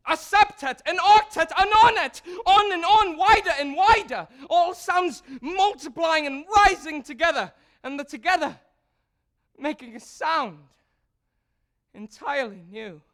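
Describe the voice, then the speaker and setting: An excitable young composer played by a woman in a stage drama. The voice is high and swings widely, with unhurried, stammering phrases that keep breaking toward giggles. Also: full character voice. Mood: rapt, building. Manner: stammering, breathless bursts, giggly. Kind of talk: theatrical stage acting.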